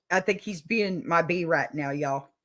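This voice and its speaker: A middle-aged woman with a Texas drawl speaks straight to her livestream viewers, folksy and motherly, calling them honey and y'all. Her voice sits a little low for a woman. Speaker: advice show host. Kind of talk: warm encouraging livestream advice talk.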